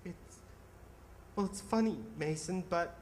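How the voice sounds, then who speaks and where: An actor playing a distraught, bitter and weary ambulance driver in old-time radio theatre, with an emotional, halting, stammering delivery. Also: male voice, high voice, a little mellow, a little hiss or hum. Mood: halting, awed.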